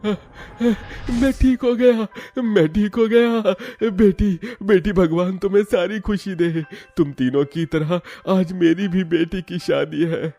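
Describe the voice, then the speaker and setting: A man's voice: sits high, steady tone, slow pace, vocal fry, mellow, soft background music, exaggerated voice. A man playing a poor, sickly older man in a cartoon story, pleading and humbly grateful. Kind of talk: Hindi cartoon story acting.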